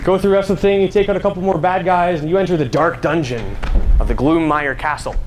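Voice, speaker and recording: A young man speaks to an audience in a voice pitched a little high. He is conversational and playful, telling things like a story in an informal public talk. Faint background noise runs behind him in the live recording. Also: fast talker.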